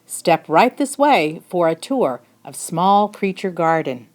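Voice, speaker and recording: A woman voicing an eager young boy, a proud storybook tour guide who announces everything loudly and excitedly. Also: lowish voice, slow pace. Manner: loud excited announcing and commanding.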